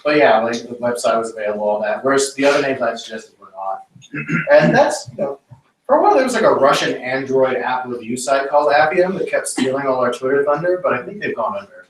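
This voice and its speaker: A man's voice: medium pitch, expressive, fairly quick, recorded from a distance. Rambling joking man in echoey room. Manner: chatty, rambling, lots of "like". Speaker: meetup speaker. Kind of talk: casual joking talk. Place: meeting room. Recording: roomy echo, mic from afar, faint noise.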